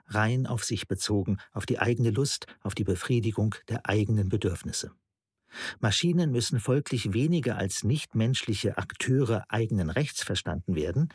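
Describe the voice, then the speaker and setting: A man's voice: lowish voice, a little mellow, breathy edge, no echo, studio quality. An actor playing an earnest male theorist who lectures precisely and didactically in a German radio play.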